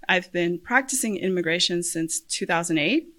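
Bright-voiced woman hosting a podcast. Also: lowish voice, animated, normal speed. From Puerto Rico. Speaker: podcast host, lawyer. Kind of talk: podcast monologue introducing herself.

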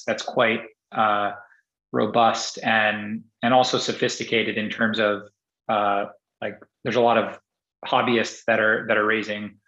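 A man's voice: mid-range voice, bright, forceful tone. Rambling man with a roomy, muffled sound. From Singapore. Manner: rambling, frequent restarts and fillers. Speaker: podcast guest, entrepreneur. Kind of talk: casual interview conversation.

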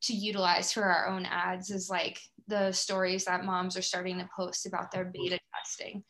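A woman's voice: on the low side, monotone, moderate pace, fairly bright tone, rough recording. An American woman from Florida speaking as a podcast host in a casual, conversational interview. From Florida, United States.

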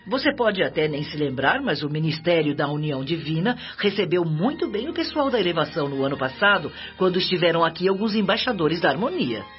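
An actress playing a talkative, gently chiding Brazilian mother and hostess in a radio drama. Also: on the low side, sing-song, fairly quick, hardly any pauses. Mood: playful chiding. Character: warm, talkative mother and hostess in spirit colony. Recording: muffled radio drama with music.

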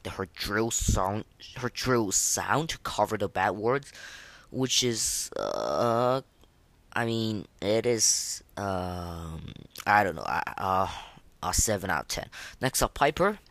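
Casual podcast host, sex ambiguous by ear, mid-low voice, halting and opinionated, close dry sound. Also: animated, pushed and bright, no room sound. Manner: hesitant, halting, rambling delivery; opinionated, slangy. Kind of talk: casual unscripted game review commentary.

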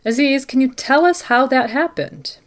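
A woman hosting a scripted mock news broadcast, presenting and interviewing in a measured, formal way. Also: sits high. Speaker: podcast host playing a live news anchor.

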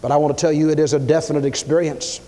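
Emphatic preacher in a reverberant hall. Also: male voice, little pitch movement, quick pace. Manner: emphatic, swings pitch and loudness, acts out quoted speakers. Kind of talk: sermon.

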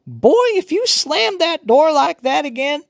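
A man voicing a fussy old grandmother, shrill and scolding. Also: wildly swooping pitch, a little slow, creaky, breathy edge, no room sound, clean studio sound, heavily acted. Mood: alarmed.

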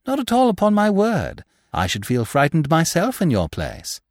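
A man's voice: fairly high pitch, huge pitch swings, normal speed, no echo, clean studio sound, voice pushed up. A man voicing a captive adventurer in a storybook, composed, wry and courteously mocking.

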